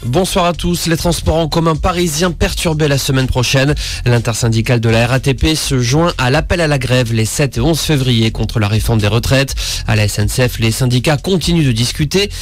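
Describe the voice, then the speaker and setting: Male radio newsreader, medium pitch. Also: a little fast, faint music behind. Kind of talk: scripted news bulletin.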